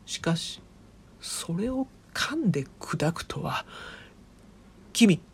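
Slow, low-pitched eccentric professor, acted by a woman. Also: very animated, slightly rough, full character voice. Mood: indignant. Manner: pompous, theatrical, old-fashioned formal speech. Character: eccentric, excitable professor obsessed with a phantom bird. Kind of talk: comedic audio drama acting.

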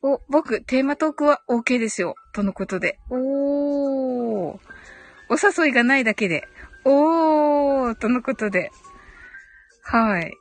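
A woman's voice: high-pitched, animated, a little slow, faint music behind. A woman hosting a casual live audio chat, giggly and chatty, reading out comments and murmuring agreement. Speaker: host of a live audio stream.

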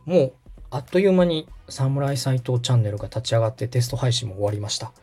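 Lively, mellow-voiced man giving instructions. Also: mid-range voice, quick pace, breathy edge, faint music behind. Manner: polite, methodical explainer. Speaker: podcast host and radio director giving step-by-step guidance. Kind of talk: instructional walkthrough in dialogue.

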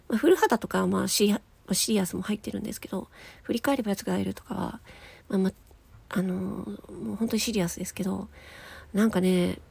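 A woman chatting casually on her own as a podcast host, in a giggly, chatty manner that trails into breathy asides. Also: mid-range voice, mellow, dry recording. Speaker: podcast host and amateur voice actress. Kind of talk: casual solo chat.

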